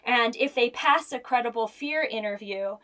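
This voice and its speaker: A woman's voice: on the high side, normal speed, fairly bright tone. A woman with an American accent speaking as an interview guest, explaining things earnestly and emphatically in a conversational storytelling way.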